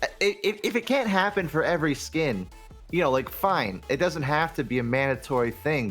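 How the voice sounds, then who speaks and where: Animated, opinionated man on a podcast. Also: on the high side. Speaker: podcast panelist. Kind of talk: casual podcast chat.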